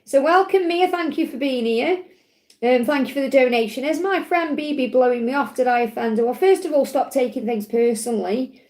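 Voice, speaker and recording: A high-voiced woman, a little croaky today, talks live to her viewers in a reassuring, advising way. She keeps checking in with a soft "okay?" as she goes. The room around her is echoey. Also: fairly quick. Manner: gently advising, repeats "okay?" often. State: slightly croaky voice. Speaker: spiritual reader answering live chat questions. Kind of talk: live-stream Q&A, casual and reassuring.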